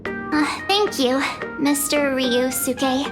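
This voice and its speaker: A perky young companion. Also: female voice, high-pitched, fairly even intonation, takes it slowly. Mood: upbeat. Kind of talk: video game voice acting. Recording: game audio with music.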